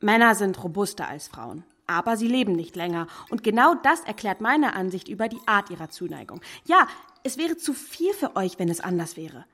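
A woman playing a mature, thoughtful gentlewoman in a radio drama, speaking in an earnest, measured and articulate way. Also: medium pitch, big pitch swings, roomy sound. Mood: earnest, impassioned.

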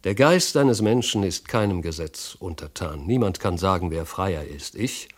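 A man reading as a low, ironic slave tutor. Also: lively intonation, normal speed. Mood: musing, ironic. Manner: casual, finely ironic. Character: learned male slave tutor, philosophical, quietly ironic. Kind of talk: literary audiobook reading, 1970s radio.

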